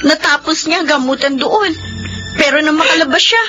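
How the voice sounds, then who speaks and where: Bright, high-voiced exasperated Filipino elder sister. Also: female voice, moderate pace, muffled recording, soft background music. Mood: resigned concern. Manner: earnest, reproachful. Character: weary, exasperated dutiful elder sister and daughter. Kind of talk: radio drama acting.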